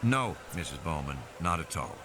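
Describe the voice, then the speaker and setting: A man's voice: rumbling low, lively intonation, normal speed, background noise, pitched below the performer's own voice. A man voicing a friendly, confident American field biologist who explains things with assurance. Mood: assured, easygoing.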